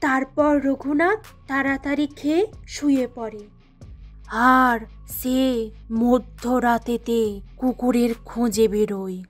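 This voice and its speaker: A woman's voice: high voice, takes it slowly, faint music behind. A woman narrating a Bengali cartoon story in a plain storytelling manner.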